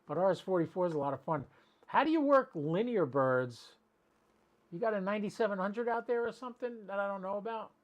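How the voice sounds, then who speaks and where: A man's voice: high voice, animated, fairly quick, lo-fi sound. Chatty man hosting a casual livestream. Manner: chatty, rambling, addressing viewers by name. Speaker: amateur radio livestream host.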